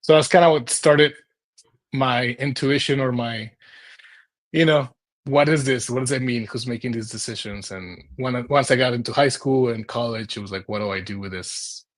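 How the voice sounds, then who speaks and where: A man in his thirties speaks at a middle pitch, telling his own story as a guest in a conversation. He is from Mexico and was raised in Houston. His delivery is informal and rambling, and he often poses rhetorical questions to himself. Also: normal speed. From Mexico, moved to Houston as a child. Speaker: urban planner, interview guest. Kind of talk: conversational personal storytelling in an interview.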